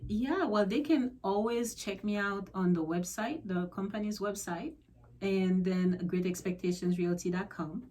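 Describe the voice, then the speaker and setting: A woman's voice: mid-range voice, unhurried, darkish tone. A woman from Haiti with a Haitian accent, a realtor chatting casually as a radio guest and laughing easily.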